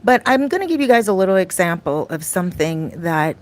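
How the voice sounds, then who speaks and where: A middle-aged woman vlogging casually about her life abroad, chatty and rambling, her voice a little raspy and often clearing her throat. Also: fairly low pitch, very animated, fairly quick. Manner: chatty, rambling, with many fillers and restarts. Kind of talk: casual talk to camera. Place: her home in a Dominican neighborhood.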